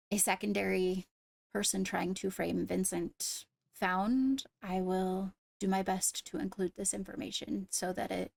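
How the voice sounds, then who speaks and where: A diligent fantasy court investigator played by a woman speaks in a formal, deliberate way, choosing words carefully. The voice is mid-pitched with plenty of creaky fry, captured very dry in an improvised game roleplay. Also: dry recording. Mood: formal, reassuring. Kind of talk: improvised in-character roleplay dialogue.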